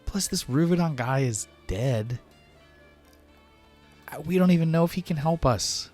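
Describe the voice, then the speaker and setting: A man's voice: fairly high pitch, animated, measured pace, a little mellow, faint music behind, pitched above the performer's own voice. A man playing an earnest, hesitant small youngster who pleads his case in a tabletop game. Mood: pleading.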